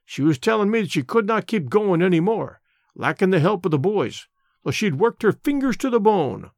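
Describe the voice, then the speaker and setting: A blunt older Scottish labour radical speaks in thick dialect, as a male reader puts him on. His voice sits high and swings widely. The recording is dry and close. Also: big pitch swings, normal speed, no room sound. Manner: broad dialect, forceful and opinionated. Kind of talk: audiobook character voice.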